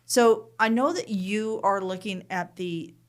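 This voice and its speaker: Canadian woman hosting, mid-pitched voice. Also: unhurried. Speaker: podcast host. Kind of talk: chatty interviewing.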